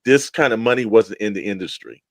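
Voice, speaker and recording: A man's voice: on the low side, animated, brisk, no echo. Emphatic man on a dry podcast mic. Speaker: podcast co-host. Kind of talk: casual podcast discussion.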